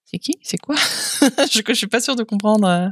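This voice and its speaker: A woman's voice: fairly high pitch, expressive, no room sound, clean studio sound. A woman, chatting casually and teasing her friends before a game session. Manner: laughs often, teasing, talks in quick asides. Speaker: tabletop game group member.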